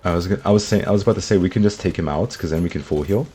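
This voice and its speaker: A man with a slightly sick, rough throat, giving upbeat casual commentary over his gameplay and talking to his viewers. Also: low-pitched, racing, mellow, soft background music. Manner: upbeat, talks to viewers as guys. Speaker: gaming YouTuber.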